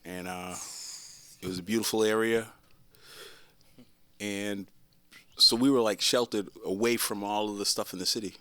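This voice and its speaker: A man in his sixties from the Boston area, telling stories from his childhood in a relaxed way on a panel, chuckling often. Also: on the low side, moderate pace, pauses often, clean tone, no room sound. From Boston area, Massachusetts. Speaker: panel guest. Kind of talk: casual storytelling.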